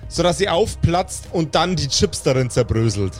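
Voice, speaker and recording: A man's voice: on the high side, big pitch swings, normal speed. A man narrating an improvised tabletop game as game master, describing the action in detail as he makes it up. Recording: music playing behind the voice. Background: background music.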